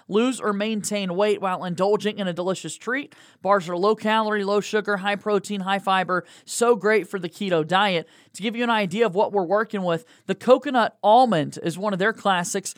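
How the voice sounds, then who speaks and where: A male sports podcast host with a noticeably high voice. He talks in a conversational presenter's style, and the sound is very dry with no room on it. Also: fairly bright tone, dry recording. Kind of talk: conversational interview and sponsor reads.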